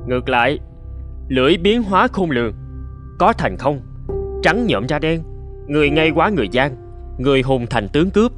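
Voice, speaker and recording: An actor playing a humble but clever young slave in a cartoon folk tale, deferential and articulate. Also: male voice, a little high, animated, moderate pace, pauses often, natural voice. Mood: explaining confidently. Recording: music behind the voice.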